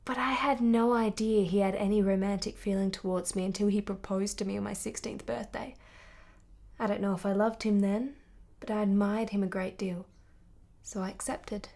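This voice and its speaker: A woman's voice: medium pitch, a little mellow, lightly acted. Passionate young married woman played by an actress. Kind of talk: radio drama acting.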